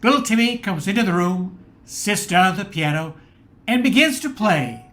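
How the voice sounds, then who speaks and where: A woman's voice: mid-range voice, expressive, normal speed, a bit bright. A woman reading scripted narration as the storyteller of a radio play.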